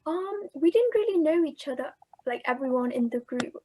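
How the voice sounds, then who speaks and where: Young woman from england, student, fairly high voice, casual with many likes, faint clicking noise. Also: animated, normal speed, darkish tone, rough recording. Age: young adult.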